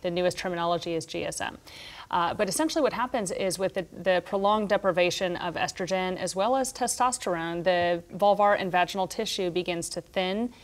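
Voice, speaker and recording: A woman's voice: on the low side, a little fast. A woman in her thirties giving clinical explanations on a studio panel. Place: TV studio round table. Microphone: lapel mic.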